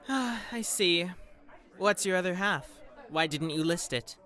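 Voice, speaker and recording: A condescending, haughty interviewer from a supernatural audio drama, as an actor voices it. The voice sits at a middling pitch and swings up and down a great deal, dripping with dismissive superiority. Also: expressive, slow, sharp tone, a faint crowd. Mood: polite, dismissive. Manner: condescending, dismissive, swooping intonation.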